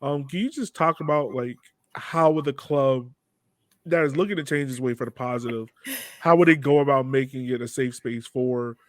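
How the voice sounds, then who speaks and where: A man's voice: medium pitch, normal speed, no room sound. A man hosting a podcast in a casual, conversational way. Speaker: podcast host.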